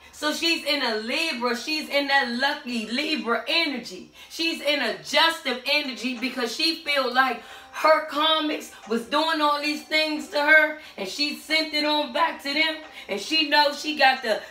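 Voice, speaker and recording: A middle-aged woman with a hoarse voice giving a tarot reading to camera in a small room at home, sassy and sing-song, calling out to the spirits. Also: high-pitched, sharp tone. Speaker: tarot reader. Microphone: camera standing a few steps away. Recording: echoey room, far from the mic, faint music.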